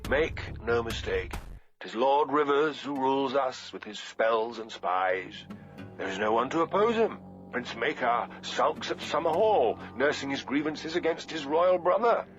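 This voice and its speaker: A male narrator acts out a gossipy, world-weary old priest in a fantasy storybook. He grumbles with theatrical relish in old-fashioned phrasing. The recording is dulled, with clear music behind him. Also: medium pitch, animated, unhurried, lo-fi sound, pitched above the performer's own voice, lightly acted. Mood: conspiratorial. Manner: theatrical, archaic diction.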